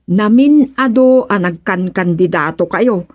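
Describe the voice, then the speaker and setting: A woman playing a teasing, scornful village councilwoman with a sharp tongue in an Ilocano radio comedy drama. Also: medium pitch, lively intonation, a little slow, dry recording, clean studio sound.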